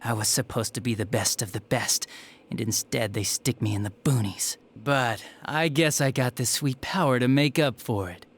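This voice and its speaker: An actor playing a smug, spiteful young detective villain in a video game, with a sneering, flippant and theatrical manner. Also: male voice, mid-range voice, moderate pace, breathy edge, natural voice. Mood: disgruntled. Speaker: police detective. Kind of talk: dramatic video game voice acting.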